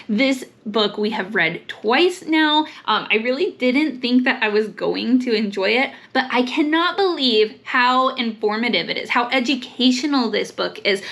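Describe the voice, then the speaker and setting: A woman's voice: a little high, a bit bright. A woman giving upbeat book recommendations as a vlog host, speaking with enthusiasm and emphasis. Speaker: host, homeschool mom recommending books.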